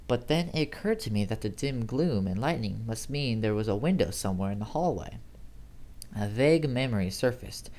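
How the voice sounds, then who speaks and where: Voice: medium pitch, darkish tone. A person reading a first-person horror story aloud as an amateur narrator, with a dramatic, uneasy delivery.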